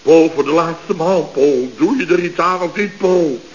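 An actor playing a flustered middle-aged contractor boss in a radio comedy, stammering, exclaiming and turning pompously polite. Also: male voice, fairly high pitch, measured pace, a little mellow, natural voice. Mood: agitated. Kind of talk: Dutch radio comedy acting. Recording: dull, noisy old radio recording.

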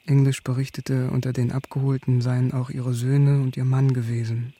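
A man playing a war-worn, stoic sergeant in a radio drama, telling his story in terse, measured, sober words. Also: medium pitch, level tone, mellow, breathy edge. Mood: sombre, restrained. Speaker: defendant and narrator. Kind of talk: German radio drama acting, first-person recollection.

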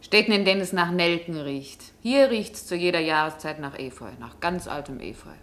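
An actress in a radio play as a dreamy, melancholy young waitress, speaking in wistful, lingering, poetic phrases. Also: female voice, fairly low pitch, lively intonation, normal speed, a bit bright, slight background noise. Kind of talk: German radio play acting.

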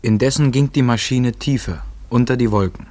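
A man's voice: medium pitch, normal speed, darkish tone. A man narrating a literary radio play in a composed, articulate way.

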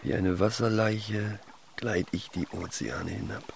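A man playing a reticent, secretly poetic teenage boy in a naturalistic radio play, talking in a quiet, hesitant, thoughtful way. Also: on the low side, fairly even intonation, unhurried, gravelly, a little hiss or hum. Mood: musing.

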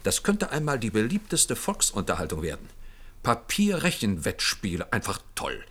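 A skeptical army general in a science-fiction radio play. He is jovial and condescending, with a fairly low voice and a blustery, dismissive delivery. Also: huge pitch swings, moderate pace, a bit bright, slightly rough, full character voice. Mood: amused, dismissive. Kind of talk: German science-fiction radio drama acting.

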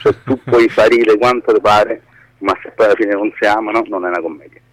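A man's voice: lowish voice, fairly even intonation, a little fast, no room sound, rough recording. A man with a Roman Italian accent giving a casual, unscripted interview as a screenwriter, rambling and correcting himself in colloquial speech.

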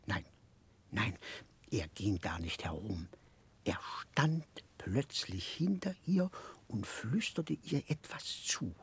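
A man in a radio play voicing a muddled, childlike, secretive gentleman in a hushed, breathy voice, halting and correcting himself. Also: medium pitch, heavily acted. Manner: halting, confused, conspiratorial, self-correcting. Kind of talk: 1960s German radio play acting.

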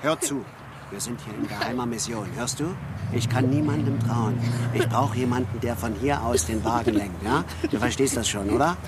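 High-voiced volatile working-class father. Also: very animated, a little fast, very noisy. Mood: secretive, coaxing. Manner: agitated, stammering, repeats himself. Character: volatile working-class father on a road trip, fiercely defensive. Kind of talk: German radio drama acting. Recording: music behind the voice.

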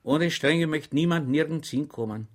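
A man's voice: a little high, moderate pace, pitched above the performer's own voice, natural voice. A man voicing a simple-minded, cheerfully earnest soldier who answers in innocent, meek tones.

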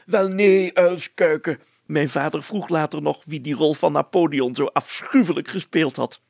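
A man voicing an excitable, stubborn, self-satisfied small-town mayor with a blustery manner in a story. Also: on the high side, normal speed, vocal fry, no echo, voice pushed up. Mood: indignant, boastful.

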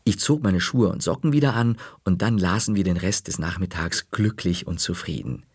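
A man narrating a children's story in the first person, calm, dropping to a whisper in quiet moments. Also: low-pitched, moderate pace, husky, dry recording, clean studio sound.

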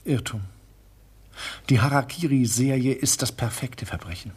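An actor playing a smooth, coolly menacing art collector who murders, in a radio drama. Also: male voice, medium pitch, moderate pace. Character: suave murderous male art collector, villain.

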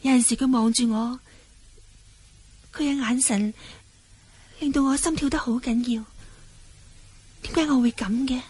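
A woman's voice: high voice, steady tone, measured pace, long pauses, a little mellow, husky. A woman with a Hong Kong Cantonese accent playing a shy, innocent young heroine disguised as a youth in a radio drama. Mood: dreamy musing.

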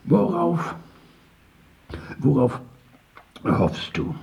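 An actor in a radio drama playing a skeptical questioner who tests a sufferer with halting, rhetorical questions. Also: male voice, a little high, wildly swooping pitch, vocal fry, a little mellow, heavily acted. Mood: pondering. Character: probing, skeptical male questioner testing a sufferer's faith.

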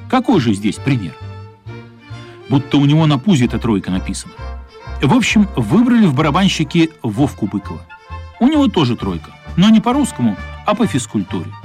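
A man with a fairly high voice narrates a radio play. His scripted storytelling is expressive and animated in pitch, slipping into the characters' thoughts. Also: fairly quick, faint music behind.

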